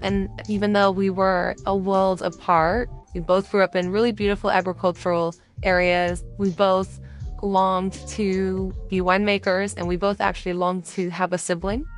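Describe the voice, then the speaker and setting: A woman's voice: mid-range voice, level tone, moderate pace, faint music behind. A middle-aged woman from California, a wine company co-founder, speaking in an earnest, upbeat documentary interview. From Central Coast of California. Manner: earnest, upbeat storytelling with light laughs.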